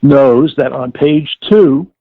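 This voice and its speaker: An older man being interviewed, explaining and reminiscing in a deliberate, halting way with formal wording and self-corrections, in a gravelly, husky voice. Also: mid-range voice. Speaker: interviewee, former audio producer-director. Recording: tinny phone-like line with room echo.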